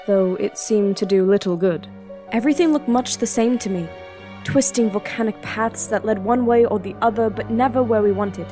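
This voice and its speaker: A woman's voice: mid-range voice, dark tone, natural voice. Woman playing an earnest, self-doubting young princess. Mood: reflective, weary. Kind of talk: fan audio drama, first-person narration and dialogue. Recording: music bed under the voice.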